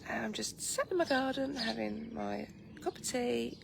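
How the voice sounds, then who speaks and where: Croaky, sleepy English woman in her garden. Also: fairly high pitch, measured pace, noisy background. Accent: English, Lincolnshire. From England. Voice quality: croaky, gravelly morning voice. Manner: relaxed, rambling, warm-hearted chatter with many ums. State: just woken, sleepy. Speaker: vlogger greeting live viewers. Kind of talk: casual chatty morning livestream. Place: outdoors in a garden. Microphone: phone camera. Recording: outdoor phone audio with loud birdsong. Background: birdsong and light breeze.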